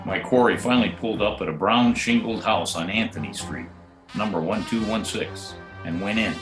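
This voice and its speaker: An actor playing a wisecracking, sardonic private detective who also narrates, in a 1940s-style radio drama. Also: male voice, lowish voice, normal speed, a bit bright, natural voice. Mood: matter-of-fact. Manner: dry, sardonic. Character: wisecracking hard-boiled private detective, narrating his case. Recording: roomy remote home recording, faint music.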